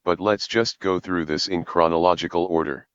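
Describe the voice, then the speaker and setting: Low smooth guy doing a scripted how-to voiceover, measured and explanatory, kinda muffled dry recording. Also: steady tone, fairly bright tone, dry recording. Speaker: programming tutorial presenter. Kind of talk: scripted tutorial narration.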